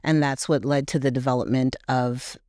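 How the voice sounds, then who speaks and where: Podcast guest: middle-aged woman from Montreal, smooth voice, dry close mic, reflective and unhurried. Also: rumbling low, fairly even intonation, no room sound. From Montreal, Canada. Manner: warm, reflective, many "um" pauses. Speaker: podcast guest, grief support group co-founder. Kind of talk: casual interview conversation.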